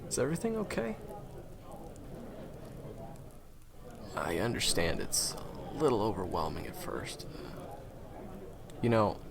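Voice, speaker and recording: A man playing a friendly, reassuring guide and former hunter in a fantasy game audio roleplay, talking conversationally to the listener with hesitant pauses. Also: low-pitched, vocal fry, full character voice. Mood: concerned, reassuring.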